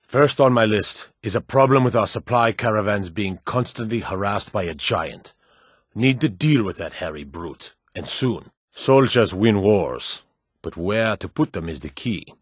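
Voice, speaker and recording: A man playing a stern, battle-hardened military officer in a fantasy video game, brisk, commanding and gruffly matter-of-fact as he gives orders. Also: lowish voice, lively intonation, dry recording, studio quality. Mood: weary, exasperated.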